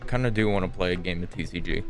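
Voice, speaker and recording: A man's voice: low voice, quick pace, over music. A man streaming a game, giving casual, slangy running commentary and reacting aloud with some swearing.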